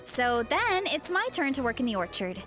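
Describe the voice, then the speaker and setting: A woman voicing a cheerful, friendly young woman athlete in a game, who talks in a bright, earnest way. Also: high voice, big pitch swings, moderate pace. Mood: chatty. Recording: game audio with faint music.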